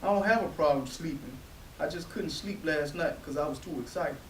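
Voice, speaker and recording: A man performing as a respectful teenage son in a stage play, hopeful and quietly insistent. His voice is fairly high for a man and sounds far from the mic in a very echoey room, with faint hiss behind it. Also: moderate pace, lightly acted. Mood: quiet, defensive.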